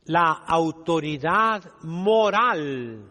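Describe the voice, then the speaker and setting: A man's voice: a little high, expressive, drawn-out words, pushed and bright. A man preaching a sermon as a priest, emphatic and didactic, putting rhetorical questions to his listeners. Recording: long reverberant echo of a large hall.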